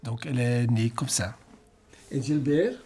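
A man's voice: mid-range voice, unhurried, a little mellow, slight background noise. A man on the staff of a care home, relaying residents' answers in a plain, explanatory way with hesitant fillers. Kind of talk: interpreting between French and Dutch.

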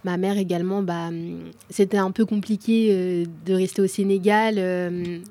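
A woman's voice: on the low side, fairly even intonation, normal speed, mellow. A woman in her twenties, a guest talking casually in conversation. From France. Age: 20s. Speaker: guest, social media content creator.

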